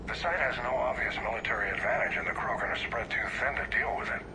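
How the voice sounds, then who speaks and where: An actor playing a stern, authoritative military admiral delivering clipped mission briefings in a video game. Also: male voice, medium pitch, fast, very noisy, natural voice. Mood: stern, businesslike. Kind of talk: video game cutscene acting.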